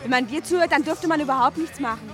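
Rebellious youngster, female performer: rapid, high-pitched, defiant, radio drama. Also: lightly acted. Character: defiant youngster eager to run away. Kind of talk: German radio play acting. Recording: loud music behind the voice.

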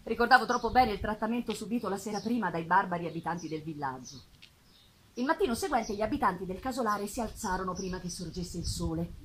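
A woman giving an expressive, dramatic literary reading with pauses and drawn-out phrases, performed live on stage. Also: medium pitch. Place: live theatre festival performance. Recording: live music behind the voice. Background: live musical accompaniment.

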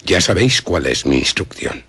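A grave doctor from a radio play, with a Castilian Spanish accent. His voice is extremely low and very husky, moving expressively as he gives courteous, measured orders. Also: big pitch swings, bright, forceful tone, exaggerated voice. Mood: grave, firm. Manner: measured, formal, authoritative. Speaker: actor in radio drama. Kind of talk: radio drama acting.

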